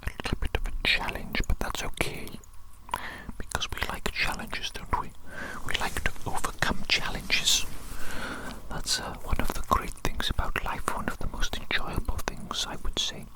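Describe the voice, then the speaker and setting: A performer voicing a doting, eccentric evil doctor in a breathy whispered ASMR roleplay, with fussy, repetitive, quaintly formal phrasing. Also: normal speed, close to an everyday voice, low-quality recording. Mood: encouraging, grandiose. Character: doting eccentric evil doctor fussing over a tiny creature.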